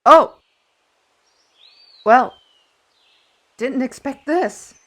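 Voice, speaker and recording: A woman playing a feisty, proud fantasy artist in an audio roleplay, chatty and indignant, with theatrical, expressive delivery. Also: sits high, extremely slow, full character voice. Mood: surprised, wry.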